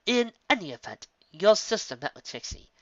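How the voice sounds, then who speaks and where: A man voicing a regal, ancient female alicorn princess who speaks in measured, formal royal diction. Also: high voice, sing-song, no echo, dull audio, voice pushed up. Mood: stern.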